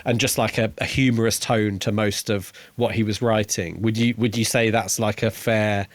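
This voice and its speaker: A man's voice: on the low side, steady tone, normal speed, no room sound. A man co-hosting a podcast, talking informally and enthusiastically in a casual book review chat. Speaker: podcast co-host.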